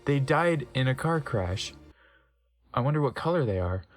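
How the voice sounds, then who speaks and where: A man's voice: mid-range voice, lively intonation, normal speed, soft background music. A man narrating fan fiction as a dramatic comedic reading, breaking off for wry offhand asides and stumbling over odd wording. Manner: wry, stumbles over misspelled words and comments on them.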